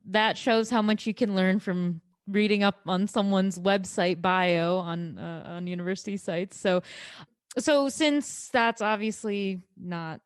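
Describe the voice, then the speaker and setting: A woman's voice: medium pitch, dry recording, studio quality. A young woman hosting a podcast, asking questions casually with plenty of likes and ums. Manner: chatty, with many likes and ums. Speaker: podcast host.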